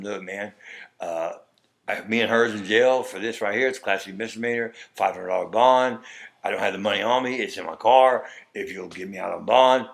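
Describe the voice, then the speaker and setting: A man's voice: fairly low pitch, gravelly, fairly bright tone. Rambling Texas-accented man telling a story. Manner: rambling, acts out quoted dialogue. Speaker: interview guest. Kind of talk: conversational storytelling.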